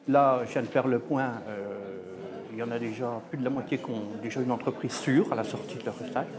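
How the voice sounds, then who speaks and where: A man from an employers' group reporting hiring figures confidently in an interview. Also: mid-range voice. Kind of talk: interview statement.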